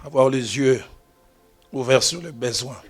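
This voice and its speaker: A man preaching a sermon as pastor, telling stories and shifting from quiet asides to loud exclamations. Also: mid-range voice, measured pace, husky, faint music behind. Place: church.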